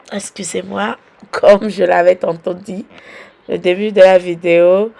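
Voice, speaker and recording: Slow, gravelly Beninese mom with a cold. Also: female voice, lowish voice, fairly even intonation, a little mellow. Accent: Beninese French. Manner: unhurried, chatty, fills pauses with "voilà". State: has a cold and sore throat. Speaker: mom vlogger. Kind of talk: casual home vlog narration.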